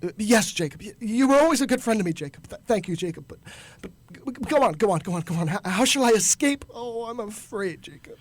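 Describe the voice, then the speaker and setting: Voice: medium pitch, animated, fast talker, lightly acted. An actor playing a crotchety old male miser in a radio drama, frightened and scoffing, stammering and blustering. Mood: nervous, pleading.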